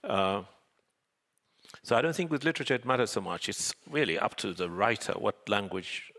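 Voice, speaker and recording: A man's voice: on the low side, expressive, a bit bright. An older man whose first language is Swahili, speaking thoughtfully with hedges as a guest on a panel. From Zanzibar. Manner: thoughtful, measured, with frequent hedges. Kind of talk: panel discussion. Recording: reverberant hall.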